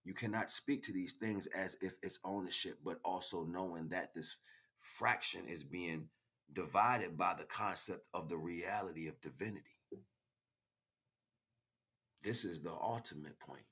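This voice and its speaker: A man's voice: low-pitched, measured pace, bright, forceful tone, breathy edge. A man giving an impromptu spiritual monologue as a teacher, speaking directly to the listener and repeating phrases for emphasis.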